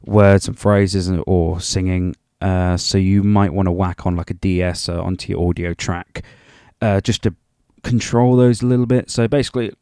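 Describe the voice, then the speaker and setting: A man's voice: sits low, fairly even intonation, normal speed, warm tone, clear, resonant tone, no echo, studio quality. A man giving an informal music production tutorial in a rambling, chatty and self-deprecating way. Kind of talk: informal software tutorial narration.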